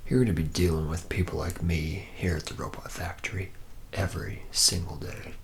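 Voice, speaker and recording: Man playing a deep, gravelly, boozy robot mechanic. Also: normal speed, a little hiss or hum. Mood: rambling, casual. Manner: loose, rambling, boozy, laid-back. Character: charismatic, drunken, hard-living womanizing senior robot mechanic. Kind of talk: ASMR roleplay.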